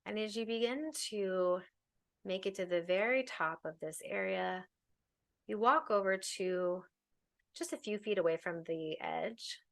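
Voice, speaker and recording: A woman teaches a guided meditation in a medium-pitched voice. She gives her instructions in a soothing, unhurried manner. She leaves space between phrases as she leads the listener along. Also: vocal fry, a bit bright.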